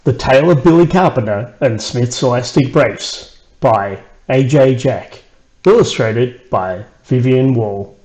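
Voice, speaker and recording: A man with an Australian accent narrating a rhymed children's story in an expressive storyteller's way. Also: mid-range voice, unhurried, poor audio. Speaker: author reading own children's book.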